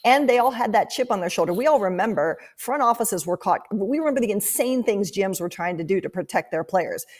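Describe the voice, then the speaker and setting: A woman giving thoughtful sports analysis as a guest, speaking in an articulate, measured way and stressing key words. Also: medium pitch, lively intonation. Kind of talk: thoughtful hockey analysis in an interview.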